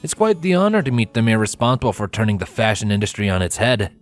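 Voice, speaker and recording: A man voicing a polite, smooth-talking gentleman stallion with a courtly, measured manner, in a story reading. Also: fairly low pitch, big pitch swings, soft background music. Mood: suave, courtly.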